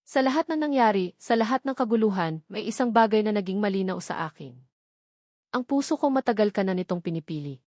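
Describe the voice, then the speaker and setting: A woman's voice: medium pitch, animated, brisk, a little mellow, dry recording, clean studio sound, natural voice. A woman voicing a devoted, tender young man in a romantic drama story.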